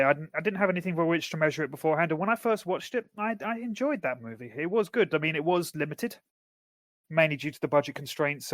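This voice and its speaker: A man's voice: fairly high pitch, a bit bright, no room sound, clean studio sound. A man co-hosting a podcast in casual chat, thoughtful and fairly fluent. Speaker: podcast co-host.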